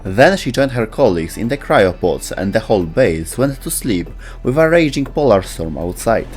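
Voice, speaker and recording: A man's voice: fairly low pitch, big pitch swings, normal speed, soft background music. A man reading scripted narration for a video about video game lore.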